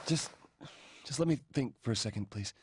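A man's voice: medium pitch, expressive, moderate pace, a little hiss or hum, close to an everyday voice. A man voicing an anxious, evasive young boyfriend in a video game cutscene, hesitant, stammering and flustered. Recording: loud music under dialogue.

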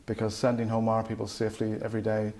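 A man in his forties speaking slowly and deliberately in a corporate interview. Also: fairly low pitch, completely flat delivery, dark tone. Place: indoor office with display boards.

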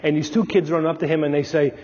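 A man's voice: a little high, steady tone, fast, warm tone. A man, an analyst giving an emphatic, storytelling talk at a podium on a lapel mic. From Brooklyn, New York. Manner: storytelling, emphatic, gestures with his hands. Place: large dark stage, lecture hall. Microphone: clip-on lapel mic. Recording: lo-fi recording with long hall reverb.

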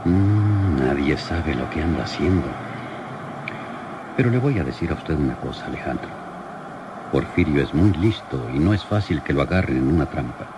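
Radio drama lieutenant, male, bass register, weighty and commanding, noisy old recording. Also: expressive. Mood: grave, pondering. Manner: deliberate, questioning, commanding. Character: shrewd, worried police lieutenant in charge. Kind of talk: old radio serial acting.

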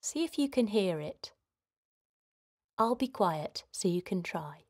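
Slow, gentle woman guiding relaxation. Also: medium pitch, animated, slightly rough. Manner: gentle, soothing, simple instructions with pauses. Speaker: children's guided meditation host. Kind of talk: guided relaxation for children.

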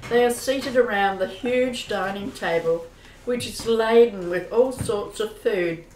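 A woman's voice: mid-range voice, measured pace, a little mellow. A woman reading scripted narration for a radio play. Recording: distant, roomy, noisy with clicks.

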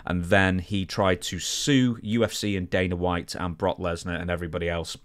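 Man, very low-pitched voice, podcast co-host, casual sports talk, explanatory. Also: steady tone, moderate pace.

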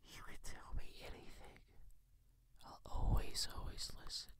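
A man whispering softly in a reassuring ASMR roleplay, close to the mic, with hesitant pauses. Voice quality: breathy whisper.

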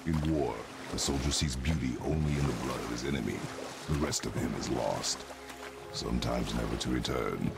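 An actor playing a stern, battle-hardened middle-aged warrior father in a fantasy game, terse, grave and commanding, with a deep, gravelly voice. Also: normal speed. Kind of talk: cinematic fantasy game acting. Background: loud music.

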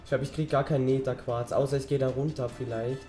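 A teenage boy talks his way through a game, rambling and chatty, his voice slightly hoarse from a cold. His tone is mellow and dark, and faint music plays behind him. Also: mid-range voice, steady tone, quick pace. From German-speaking Europe. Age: teens. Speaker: gaming channel host. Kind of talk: casual let's-play commentary.

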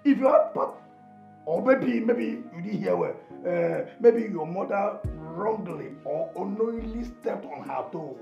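An actor with a Nigerian accent playing an excitable, fickle friend in a comic film, exclaiming, repeating himself and stammering. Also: male voice, high-pitched, unhurried, creaky, roomy sound, faint music behind, full character voice. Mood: flustered, placating. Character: excitable, food-loving male friend, comically fickle peacemaker. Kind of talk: broad comic film acting.

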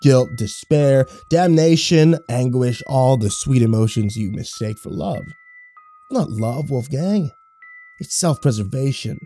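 A man's voice: medium pitch, expressive, a little slow, dark tone, soft background music. A man voicing a smug, mocking male deal maker who speaks in a sly, theatrical drawl in a horror story. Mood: taunting.

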